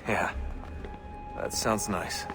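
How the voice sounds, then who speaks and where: An actor playing a guarded young reluctant hero in a video game, plain-spoken and understated. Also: male voice, fairly low pitch, very slow, over music. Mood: uneasy, subdued. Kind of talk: dramatic video game dialogue.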